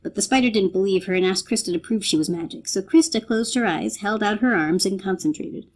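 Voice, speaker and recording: A woman's voice: mid-range voice, brisk. A woman reading as a storybook narrator in a dramatic, scripted style.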